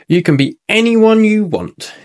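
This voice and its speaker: A gentle female gazelle teacher from a children's storybook who encourages her class. A man voices her while reading aloud. Her voice sits a little higher than his usual one. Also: big pitch swings, measured pace.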